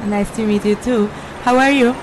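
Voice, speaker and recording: Brief: native Italian woman host, Italian-accented English, lively, chatty, fairly high voice. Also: normal speed, background noise. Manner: lively, chatty, hesitant when speaking English. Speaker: travel vlog host. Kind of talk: casual on-the-street presenting.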